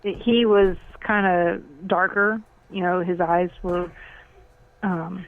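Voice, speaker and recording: A woman's voice: on the low side, steady tone, slow pace. Woman in her fifties on a phone-like line. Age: 50s. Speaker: podcast guest. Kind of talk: casual chat, personal recollection. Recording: thin, phone-like call audio.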